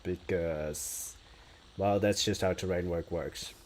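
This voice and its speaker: Slow, low-voiced Dutch streamer musing aloud. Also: male voice, a little hiss or hum. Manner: relaxed, musing aloud, answers chat questions. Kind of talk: casual live-stream chat while building.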